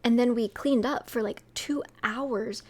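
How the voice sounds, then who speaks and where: A woman's voice: on the high side, a little slow, darkish tone. A young woman with a sweet voice, chatting casually with her stream viewers, rambling with lots of fillers and light laughs.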